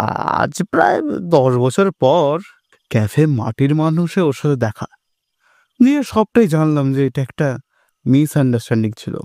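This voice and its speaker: A man's voice: on the high side, warm tone, no echo, studio quality. A man playing an anxious, lovesick young man in an audio story, hesitant and complaining. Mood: dejected. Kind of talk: audio story character acting.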